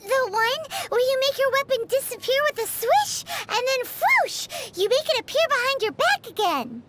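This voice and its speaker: A tiny fairy sidekick from a video game, with an extremely high, squeaky childlike voice. She is cutesy and naive, swinging up and down in pitch as she exclaims. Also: very animated, normal speed, a bit bright, slight background noise, heavily acted. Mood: excited, puzzled. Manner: refers to self in third person, exclaiming, cutesy. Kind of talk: video game character voice acting.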